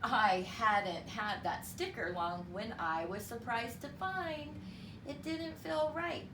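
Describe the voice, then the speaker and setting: A woman reading a story aloud to children in an expressive, deliberate, teacherly way. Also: fairly high pitch. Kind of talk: expressive read-aloud storytelling with counting lesson. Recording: roomy, distant mic with clear background noise.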